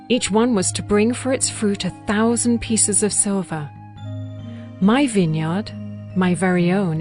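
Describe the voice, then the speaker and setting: A woman playing a tender young bride passionately in love, reading ancient poetry slowly and intimately in a dramatized scripture audiobook. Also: medium pitch, a little mellow, lightly acted. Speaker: actress in a dramatized Bible audiobook.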